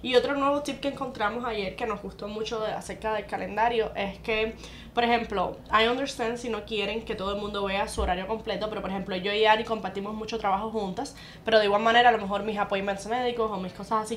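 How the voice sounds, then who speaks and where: A woman from Cuba, co-hosting a podcast in a casual chat and explaining things quickly. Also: mid-range voice, steady tone, a little hiss or hum. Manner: quick, explanatory, mixes English phrases into her Spanish. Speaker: podcast co-host.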